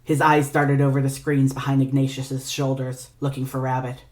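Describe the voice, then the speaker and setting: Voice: medium pitch, level tone, normal speed, a little mellow. A narrator reading scripted fiction aloud as an audio story.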